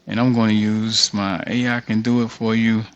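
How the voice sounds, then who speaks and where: Gravelly man thinking aloud. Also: fairly low pitch, flat delivery, moderate pace, lo-fi sound. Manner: informal, mutters while reading on-screen text aloud. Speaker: tutorial creator. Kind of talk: screen-share walkthrough, thinking aloud.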